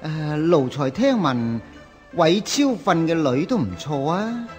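A high-pitched, fawning palace eunuch. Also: male voice, very animated, slow, darkish tone, faint music behind. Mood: sly, coaxing. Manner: obsequious, coaxing, deferential. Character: fawning, scheming palace eunuch serving the emperor. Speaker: radio drama actor. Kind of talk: Cantonese historical radio drama acting.

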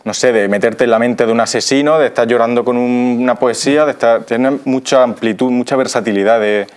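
A man's voice: medium pitch, fairly even intonation, fairly quick, clean tone. A man in his thirties with an accent from Spain, chatting casually and explanatorily as a guest in a studio interview. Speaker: radio theatre actor, interview guest. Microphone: lapel mic.

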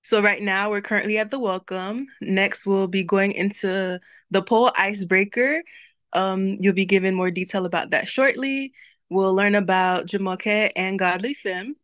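A woman's voice: medium pitch, normal speed, a bit bright, dry recording. A young woman hosting an online webinar on a video call, opening with a prayer and then greeting the audience and reading out chat messages with frequent laughs. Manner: upbeat, laughs often, talks to the chat. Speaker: webinar host. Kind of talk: prayer and warm webinar welcome.

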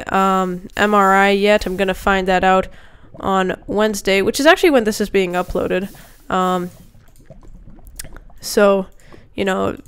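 A young woman talks over her gameplay with a slight roughness from a cold, rambling through fillers. Her middle-pitched voice is smooth and clear, close on a desk mic. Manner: chatty, rambling with many fillers. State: sick with a cold, voice a bit rough. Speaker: gaming youtuber. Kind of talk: casual gameplay commentary.

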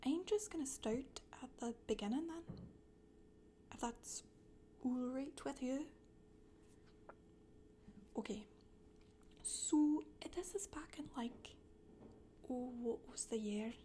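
A woman in her thirties playing a chatty Scottish witch in soft-spoken, breathy, deadpan ASMR storytelling with a put-on Scottish accent. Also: high voice, slow, pauses often, a little hiss or hum. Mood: casual, confiding. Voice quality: breathy, whispery. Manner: hesitant, colloquial, deadpan.

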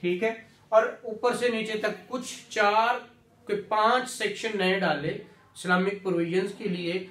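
A man's voice: sits high, fairly even intonation, measured pace, fairly bright tone. A man in his thirties with a Pakistani accent teaches law, mixing in English legal terms, repeating key words and often checking that students follow. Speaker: law lecturer. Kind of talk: classroom lecture with questions to students. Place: small indoor teaching room with whiteboard. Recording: echoey room, mic at a distance.

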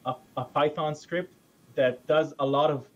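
A man's voice: fairly high pitch, fairly even intonation, normal speed, lo-fi sound. A man in his thirties from Israel with an Israeli accent, chatting as a guest over a headset on a video call, hesitant with many fillers and restarts. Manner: hesitant, lots of uhs and restarts. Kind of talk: casual chat. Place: home room. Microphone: headset, webcam video call.

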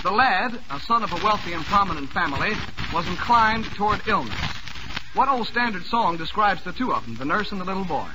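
A man's voice: high-pitched, very animated, a little fast. A man hosting a 1940s radio music quiz, jovial and teasing as he reads out listeners' questions. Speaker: quiz show host. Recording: noisy old radio broadcast.

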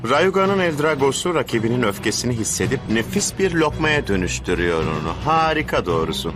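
A man's voice: medium pitch, natural voice. A man playing a scheming cartoon villain who relishes others' pain, gloating theatrically in a dubbed anime. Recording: loud music under the voice.

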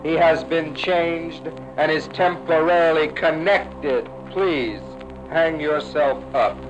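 A man's voice: on the high side, slow pace. A man reciting poetry in a spoken-word style, as a poet. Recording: dull old 1960 radio recording, music.

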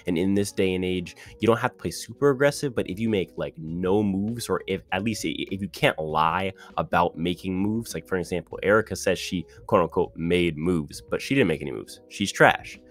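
A man's voice: low voice, faint music behind. A man giving opinionated, ranting commentary on a reality show contestant in a casual monologue. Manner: opinionated, ranting, quick with restarts.

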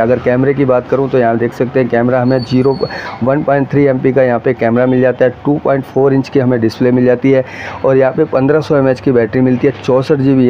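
An Indian man narrates a casual hands-on unboxing for his viewers in a slightly high voice. He explains things in quick, repetitive patter. The sound is a little dull, with faint noise behind him. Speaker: tech reviewer, vlogger.

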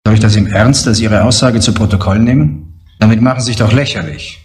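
A man's voice: fairly low pitch, brisk, a little mellow, close to an everyday voice. An actor playing a male state prosecutor who talks in a curt, dismissive, lecturing way. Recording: echoey, hissy old TV soundtrack.

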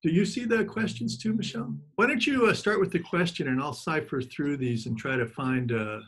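A man's voice: on the high side, brisk, a bit bright, breathy edge. A man hosting an online reading, casually running the question and answer session. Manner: casual, upbeat, loose. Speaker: event host running the Q and A. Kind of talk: casual moderating.

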